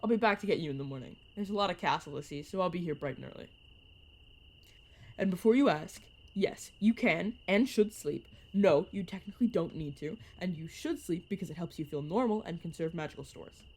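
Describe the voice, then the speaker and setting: Low-pitched, teasing young vampire mentor played by a woman. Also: brisk, a little mellow. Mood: gently bossy. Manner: teasing, sarcastic, chatty. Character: playful, teasing vampire mentor, turned at nineteen. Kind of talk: narrative audio roleplay, one-sided conversation.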